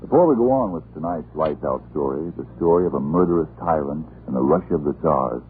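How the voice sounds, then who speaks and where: A deep-voiced American man works as a 1940s radio announcer. His delivery is formal, polished and animated, pitched to sell. The recording is narrow with faint noise, like an old broadcast. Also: brisk.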